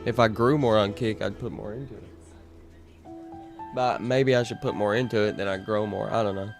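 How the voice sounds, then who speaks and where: A man's voice: on the low side, fairly even intonation, normal speed. A young man streaming a game, chatting casually and rambling to his viewers. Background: game music.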